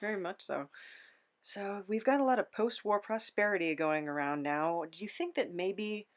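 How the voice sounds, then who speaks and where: A woman's voice: medium pitch, normal speed. A woman hosting a conversational podcast interview, thoughtful and a little hesitant as she forms her questions.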